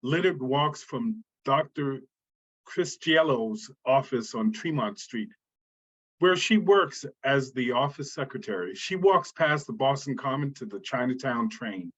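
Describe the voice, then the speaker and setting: Husky man narrating stage directions. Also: medium pitch, animated, a little slow, fairly bright tone. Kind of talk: scripted screenplay narration. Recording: dull, muffled sound.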